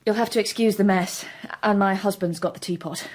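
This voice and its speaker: A woman's voice: medium pitch, steady tone, fairly quick, a little mellow, slight background noise, natural voice. An actress playing a tired, loving but guarded single mother of a young girl in a radio drama.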